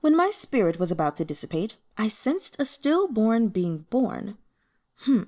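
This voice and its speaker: Frightened teenage boy for a fantasy audiobook, read by a woman: flustered, pleading, raised and very lively pitch. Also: measured pace. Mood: nervous explaining. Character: frightened eighteen-year-old boy, secretly a scheming ghost cultivator.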